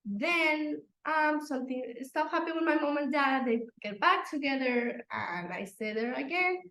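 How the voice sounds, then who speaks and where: A woman's voice: high voice, lively intonation, measured pace, bright, forceful tone. A young woman from Mexico City with a Mexican Spanish accent, a native Spanish speaker, talking hesitantly with many fillers as a podcast guest. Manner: hesitant, lots of fillers, simple phrasing. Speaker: guest, runner. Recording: echoey room.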